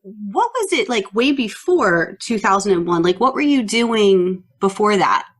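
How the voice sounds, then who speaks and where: A woman's voice: mid-range voice, expressive, unhurried. Brief: middle-aged woman, chatty interview host, mid-Atlantic American accent with an Ontario Canadian touch. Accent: mid-Atlantic American with some Ontario Canadian. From United States, near Baltimore. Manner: upbeat, chatty, laughs easily. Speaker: podcast host. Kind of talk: casual interview chat.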